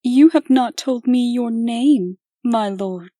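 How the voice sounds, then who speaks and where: A woman playing a soft, pleading young medieval maiden who speaks in a demure, formal, archaic way in a comic dub. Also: a little high, slow pace, dry recording, studio quality. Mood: gently curious.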